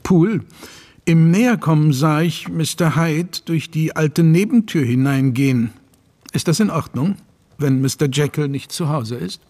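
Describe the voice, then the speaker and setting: Slow, husky, grave gentleman. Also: on the high side. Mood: courteous, probing. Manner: measured, formal, brooding. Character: grave, courteous, troubled Victorian gentleman. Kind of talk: German radio play acting.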